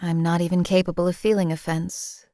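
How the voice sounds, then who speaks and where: A woman voicing a cold, deadpan female assassin. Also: fairly low pitch, no echo, studio quality, natural voice. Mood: coolly detached. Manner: deadpan, measured, emotionally flat. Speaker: game companion voice actor. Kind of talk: video game voice lines.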